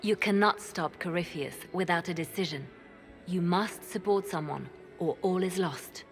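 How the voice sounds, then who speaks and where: A calm and ruthless spymaster, voiced by a woman, speaking in a low, measured way that stays soft even when the choices are hard. Faint music plays under the game dialogue. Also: close to an everyday voice. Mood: cool.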